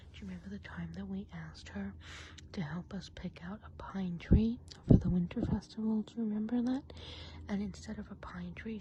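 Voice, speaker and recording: A soft, breathy whisper with a dark, mellow tone comes from a gossipy woodland witch, played by a woman in an ASMR roleplay. She confides chattily in the listener and asks gentle questions, over clearly audible background noise. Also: lowish voice, lightly acted. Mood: gossipy, confiding.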